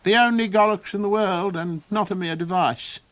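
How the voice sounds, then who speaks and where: A man's voice: normal speed, fairly bright tone. A man voicing a whimsical, forgetful little old Gollocks. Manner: high, wondering, sing-song delivery.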